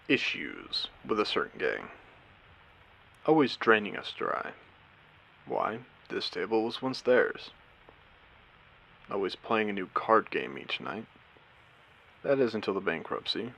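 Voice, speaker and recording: Man acting as male casino AI, post-apocalyptic audio drama, lowish gravelly voice, courteous, eerie, theatrical pauses. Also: fairly bright tone, full character voice, poor audio. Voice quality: low, creaky voice. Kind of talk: scripted character audio drama monologue.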